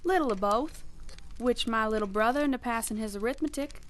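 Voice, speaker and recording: A woman's voice: a little high, a little fast, close to an everyday voice. A woman playing a plucky, forthright young frontier woman, plainspoken, in a radio drama. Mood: candid, mischievous.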